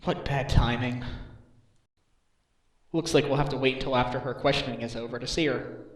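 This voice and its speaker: A man playing an earnest, wry defense lawyer with a measured manner in a video game fandub. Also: mid-range voice, steady tone, close to an everyday voice. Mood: thoughtful. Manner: measured, earnest, dry asides. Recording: echoey room, music behind.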